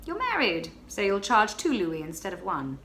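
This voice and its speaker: Smug, cocky man, put on by a woman reader, calm and mocking, pitched a little lower. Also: normal speed, slight background noise. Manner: calm, mocking, self-assured. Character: brash, cocky male stranger, smug.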